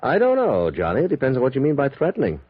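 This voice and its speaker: Husky, fretful radio insurance adjuster. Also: male voice, mid-range voice, wildly swooping pitch, quick pace, no echo. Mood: concerned. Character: fretful businesslike male insurance adjuster briefing an investigator. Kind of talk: 1950s radio drama acting. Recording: muffled dry old radio recording.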